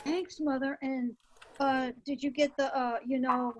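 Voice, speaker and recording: A woman's voice: high-pitched, steady tone, unhurried, crowd noise. A woman playing a helpful, peppy big sister in her teens in an amateur read of a radio commercial. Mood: earnest, peppy. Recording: slightly noisy video call, clicks.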